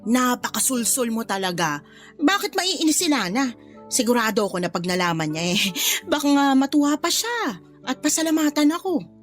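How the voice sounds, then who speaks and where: Meddling, sharp-tongued Filipino aunt for radio drama: tactless, emphatic, very animated pitch. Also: female voice, moderate pace. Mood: teasing, self-justifying. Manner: blunt, brazen, swooping emphatic delivery. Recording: music behind the voice.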